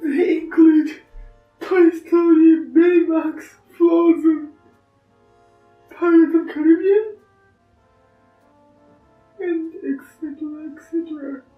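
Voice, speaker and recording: A woman chatting excitedly and rambling about a video game release. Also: very high voice, slow, pauses often, soft background music. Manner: excited, rambling fan talk. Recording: roomy, dull sound.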